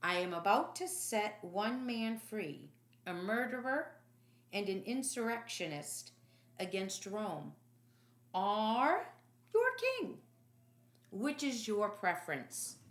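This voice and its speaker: A woman voicing an exasperated, commanding Roman governor in a loud, emphatic, declamatory storybook manner. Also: mid-range voice, big pitch swings, slow, roomy sound. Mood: declaring, bargaining. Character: exasperated, commanding Roman governor, grown man, shouting judgments.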